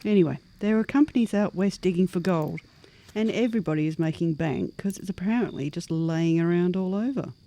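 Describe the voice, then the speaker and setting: A woman's voice: fairly low pitch, a little fast, dark tone, lightly acted. A woman playing a practical, upbeat superhuman monster adventurer in an audio horror-comedy, with a folksy, coaxing manner. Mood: eager, persuasive. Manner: folksy, plain-spoken, coaxing.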